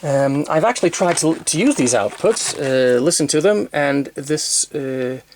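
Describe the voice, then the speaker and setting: A man presenting an unscripted technical demonstration in a methodical, hesitant way, often pausing and correcting himself as he explains. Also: a little high, animated, slight background noise. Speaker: presenter demonstrating vintage tape recorders.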